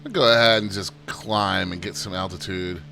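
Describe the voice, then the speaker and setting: A man's voice: deep voice, normal speed, vocal fry. A man hosting a flight sim channel, giving easygoing, self-deprecating commentary in a chatty tour-guide way. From United States. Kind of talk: casual gameplay commentary. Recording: steady background hum.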